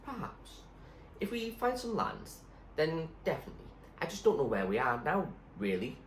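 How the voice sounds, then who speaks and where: A man voicing a cautious, kindly young girl castaway in a storybook, speaking gently and hesitantly. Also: a little high, wildly swooping pitch, moderate pace, voice pushed up. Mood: uncertain. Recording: roomy echo, faint background noise.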